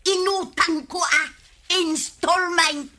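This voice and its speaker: An actress playing a carefree, debt-dodging village wife in an Ilocano radio comedy drama, with an Ilocano accent. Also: female voice, very high-pitched, fairly even intonation, slow, bright, forceful tone, full character voice. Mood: giddy, boasting.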